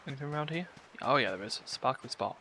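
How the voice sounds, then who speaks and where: A man's voice: mid-range voice, animated, fast talker, sharp tone, a little hiss or hum. A man streaming a video game, giving casual live commentary and muttering asides to himself and his chat. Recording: game music behind the voice. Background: video game music.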